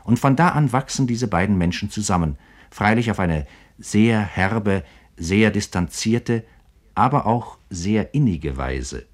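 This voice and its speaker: A man's voice: on the low side, lively intonation, unhurried. A man narrating scripted literary commentary for a German radio feature, with emphatic, literary phrasing.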